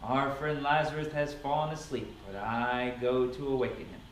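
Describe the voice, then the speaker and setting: A man's voice: mid-range voice, moderate pace, pitched below the performer's own voice, close to an everyday voice. A man voicing a solemn, commanding holy teacher in a dramatic scripture reading, declamatory and emphatic. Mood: solemn, earnest. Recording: echoey room, distant mic, noisy.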